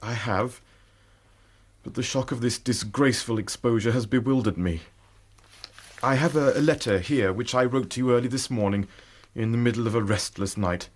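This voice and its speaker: A male actor plays a contrite young gentleman in a radio drama. His voice is medium in pitch. He speaks formally, in a subdued and ashamed way. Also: moderate pace, close to an everyday voice. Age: young adult. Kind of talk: British radio drama acting.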